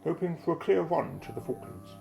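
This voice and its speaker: Creaky-voiced, unflappable explorer played by a man. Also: a little high, very animated, fairly quick, dark tone, faint music behind, full character voice. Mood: businesslike. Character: Alan, unflappable, methodical male explorer and airship pilot. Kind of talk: audio drama acting.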